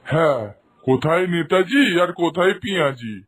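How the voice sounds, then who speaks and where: A man playing a paternal, familiar village gentleman in a cartoon, exclamatory and full of advice. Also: a little high, lively intonation, measured pace. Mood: delighted. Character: village gentleman customer, effusive, paternal, bossy but helpful. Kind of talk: Bengali cartoon voice acting.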